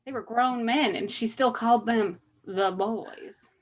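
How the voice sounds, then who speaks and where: A woman acting out a wistful mountain daughter in a stage monologue. Her Appalachian voice is fairly high and moves slowly. She tells her memories in a bookish, lyrical way. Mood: fond, wistful. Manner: lyrical, storytelling.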